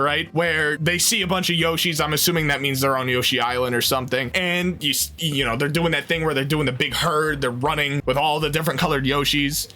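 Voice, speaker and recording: Animated, rambling young man. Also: on the high side. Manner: animated, rambling, self-interrupting. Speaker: movie reviewer. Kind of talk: casual rambling review.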